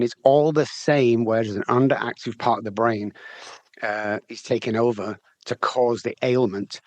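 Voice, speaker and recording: A man's voice: mid-range voice, no echo. A middle-aged man from England with a Manchester accent, telling his story candidly in an interview, chatty and often repeating words as he restarts. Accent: Manchester English. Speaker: guest, addiction recovery specialist.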